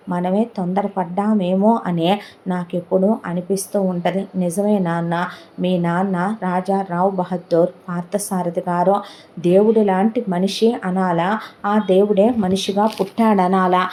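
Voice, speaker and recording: A woman's voice: fairly low pitch, fairly even intonation, darkish tone. A woman playing a sorrowful middle-aged uncle. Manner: slow, heavy storytelling.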